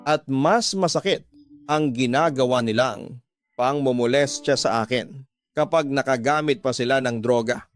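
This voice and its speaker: Male narrator for emotional radio drama, scripted storytelling, medium pitch, music bed. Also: measured pace.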